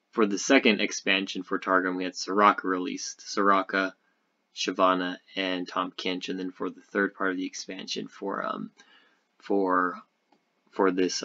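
Low-voiced man, casual gaming commentary. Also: a bit bright, lo-fi sound. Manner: halting, thinking aloud. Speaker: video host.

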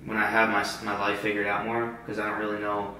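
A man's voice: fairly low pitch, monotone, fast talker, sharp tone, a little hiss or hum. A twenty-two-year-old man talking casually and hesitantly, rambling with many restarts. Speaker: vlogger's boyfriend. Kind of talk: casual chat. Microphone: hidden camera across the room. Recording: very echoey room, voice far from the mic.

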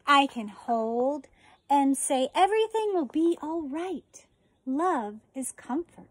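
An American woman in her forties, a teacher reading a children's picture book aloud outdoors with deliberate, expressive phrasing. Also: high-pitched. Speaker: elementary school teacher reading a story. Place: outdoors on a residential deck.

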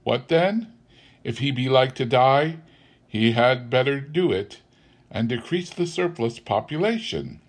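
A man's voice: medium pitch, expressive, unhurried. A man voicing a stern, solemn spirit who rebukes in a grave, oratorical manner.

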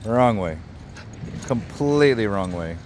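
A man's voice: lowish voice, animated, unhurried, a little mellow, slight background noise. A man, a dog trainer, talking playfully to his dogs on a beach at night, recorded on a handheld camera. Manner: coaxing, playful talk to dogs. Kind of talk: casual talk to his dogs.

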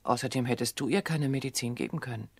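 A scared but frank grown daughter in a radio play, husky, close dry sound. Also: female voice, extremely low pitch, fast talker, darkish tone, no room sound, exaggerated voice. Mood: tense. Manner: fearful, insistent, frank. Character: anxious grown daughter under suspicion of murder. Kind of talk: radio drama acting.

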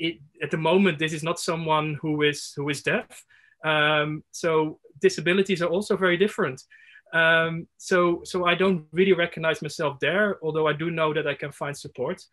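A man's voice: fairly high pitch, fairly bright tone. A man in his thirties sharing a reflection at an online workshop, hesitant with many fillers and restarts, speaking over a laptop video call from a room at home. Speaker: workshop participant. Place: home room with window blinds.

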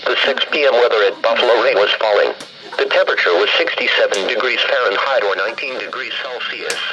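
A synthesized male announcer reading a scripted weather report impersonally over weather radio. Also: mid-range voice. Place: played through a handheld radio indoors. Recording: muffled, noisy weather radio broadcast.

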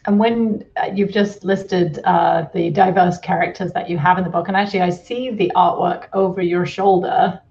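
A woman's voice: lowish voice, fairly even intonation, moderate pace, hardly any pauses, a little mellow, muffled recording. A middle-aged woman hosting a conversational interview over a video call from home. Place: indoors at home, room with bookshelves, on a video call.

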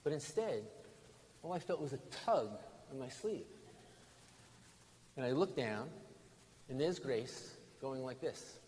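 Older American preacher in an echoing church. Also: male voice, medium pitch, animated, darkish tone. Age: 60s or older. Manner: deliberate, emphatic phrasing with pauses and repetition. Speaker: bishop preaching. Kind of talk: sermon with storytelling. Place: large stone church interior, at lectern. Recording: reverberant church hall, background noise.

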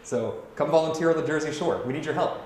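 A man's voice: on the high side, quick pace, a little mellow, soft background music. A man speaking as a charity organizer in a promotional interview style. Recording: very echoey room with chatter behind.

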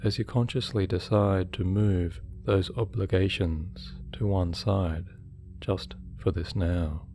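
A man guiding a hypnosis meditation in a soothing, measured way with deliberate pauses. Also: low voice, fairly even intonation, dark tone. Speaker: hypnotherapy guide. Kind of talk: guided meditation, hypnosis narration. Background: relaxation music.

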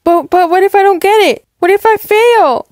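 Woman as an eager anxious teen girl, high voice, very dramatic, acted story. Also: steady tone, unhurried, smooth, no room sound, studio quality. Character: eager, anxious teenage girl dreaming of acting. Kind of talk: acted dialogue in a one-person story.

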